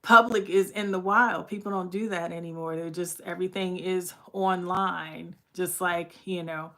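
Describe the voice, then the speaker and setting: A woman with a raspy voice, still getting over the flu, chatting casually on a livestream and greeting viewers by name. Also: on the low side, steady tone, moderate pace, fairly bright tone. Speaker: livestream host.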